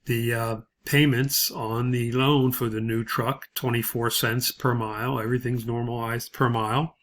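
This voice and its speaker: A male instructor with a mid-range voice walks through material step by step. His delivery is halting, with frequent pauses and hesitations as he explains. It sounds like a spoken software tutorial. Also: medium pitch, flat delivery.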